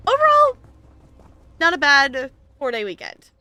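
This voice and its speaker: A woman's voice: sits high, huge pitch swings, measured pace, bright, forceful tone. A woman streamer chatting casually while she plays, laughing often and talking to the game. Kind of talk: casual chat while gaming.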